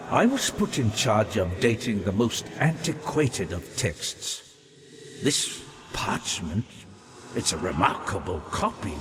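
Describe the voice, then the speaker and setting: A long, hollow reverb with some hiss surrounds this ghostly old-world librarian from a fantasy game. He muses in florid, scholarly phrases, slowly and deliberately, with fascination and melancholy. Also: lowish voice. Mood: intrigued, uneasy. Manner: erudite, deliberate, florid, musing. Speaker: non-player character in a fantasy game. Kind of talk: theatrical game dialogue, reading and translating old texts.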